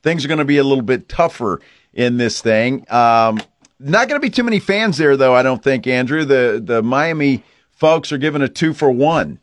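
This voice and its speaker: A man's voice: mid-range voice, expressive, normal speed, no room sound. A man hosting a sports radio show in casual, conversational talk.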